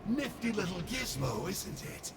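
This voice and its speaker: A man's voice: high voice, big pitch swings, measured pace, roomy sound, long reverb tail, over loud music. An actor playing a smug, theatrical male dealer who turns villain in a game cutscene.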